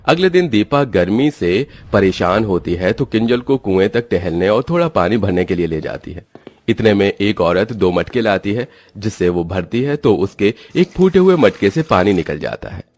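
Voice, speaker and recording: Low-voiced man narrating a cartoon story. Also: big pitch swings, normal speed, a little mellow.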